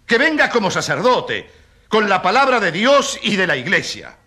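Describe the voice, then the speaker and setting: Indignant sarcastic male prosecutor, highish sharp voice, radio drama speechifying. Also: big pitch swings, moderate pace, slightly rough, heavily acted. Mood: challenging. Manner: oratorical, sarcastic, emphatic rhetorical questions. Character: indignant, pompous male prosecutor in a church trial.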